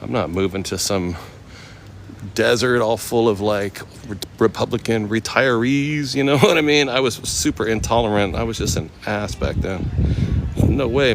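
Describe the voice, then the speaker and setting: An American man in his forties telling stories casually to live viewers in laid-back, slangy talk while walking outdoors. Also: fairly low pitch. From United States, West Coast. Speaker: chef and livestreamer. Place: walking outdoors in a temple complex. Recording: noisy outdoor ambience, passing vehicles.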